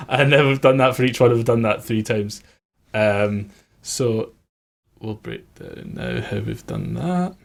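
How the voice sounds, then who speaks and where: A man's voice: medium pitch, measured pace. A man working as a music producer, giving an informal, conversational tutorial on his production methods.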